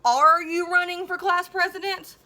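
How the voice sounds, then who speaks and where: A picked-on, nerdy middle-school boy from a storybook as a woman reads him aloud. The voice is high and sharply bright. He comes across as wry and resigned, with a dramatic streak. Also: fairly even intonation, moderate pace. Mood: incredulous.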